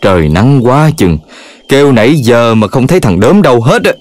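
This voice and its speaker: A man's voice: medium pitch, very animated, moderate pace, a little mellow, lightly acted. A man voicing a middle-aged, fussing dog father in a children's cartoon, with a Southern Vietnamese accent. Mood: impatient.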